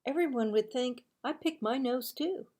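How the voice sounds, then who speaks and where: A woman's voice: sits high, moderate pace, mellow, pitched above the performer's own voice. A woman voicing evasive schoolboy friends in a children's story, emphatic and matter-of-fact.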